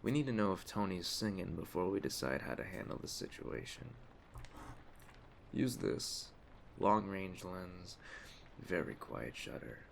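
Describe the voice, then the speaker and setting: A man playing a calm, commanding aging mafia boss in an ASMR roleplay, measured, streetwise and gravelly. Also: sits low, full character voice. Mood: businesslike, calm. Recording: clear ambient storm noise behind. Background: storm ambience.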